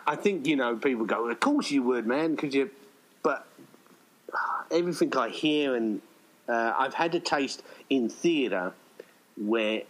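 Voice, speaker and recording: A man's voice: mid-range voice, poor audio. A man talking casually as a filmmaker guest in an interview, in a rambling way with quoted asides. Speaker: indie filmmaker, podcast guest.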